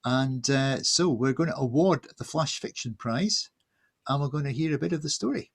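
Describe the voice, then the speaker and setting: Husky gravelly guy co-hosting online, bit halting, casual announcements. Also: medium pitch. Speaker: event co-host. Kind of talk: informal announcements at an online event. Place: indoors on a video call.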